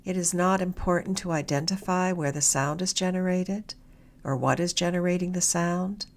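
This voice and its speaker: Female meditation guide, slightly low register, soft and a touch breathy, gentle unhurried delivery with long pauses. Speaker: meditation guide, mindfulness mentor.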